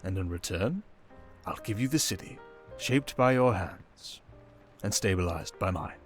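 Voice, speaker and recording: Male actor as criminal mastermind, lowish pitch, silky and seductive, theatrical pauses, cunning, teasing, intimate ASMR roleplay. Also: expressive, soft background music. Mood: seductive, persuasive.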